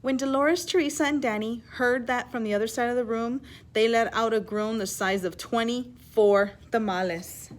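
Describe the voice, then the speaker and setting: A woman with a fairly high voice reads a children's storybook aloud. She is expressive in her delivery and breaks off now and then to explain or ask her young listeners a question. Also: moderate pace, hardly any pauses. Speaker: teacher reading aloud.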